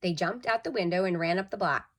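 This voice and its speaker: A woman's voice: on the low side, fast talker, fairly bright tone. A woman narrating a children's story expressively, with dramatic emphasis, like a school storytime reader.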